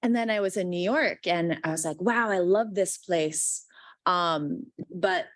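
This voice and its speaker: A woman's voice: on the low side, a little slow, no room sound, clean studio sound. A woman in her thirties from Guam, telling her story on a panel in a chatty way, often saying "like" and acting out quoted lines. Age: 30s. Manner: chatty, heavy use of "like", acts out quoted lines.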